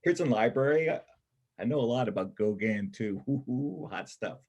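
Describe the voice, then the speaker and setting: A man playing a wry, self-doubting ex-convict loner with a husky voice and blunt, colloquial speech in a reader's theater play. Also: mid-range voice, expressive, moderate pace, darkish tone. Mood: admiring. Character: Kip, wounded ex-convict drifter, bookish loner, self-doubting. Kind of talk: stage reader's theater dialogue.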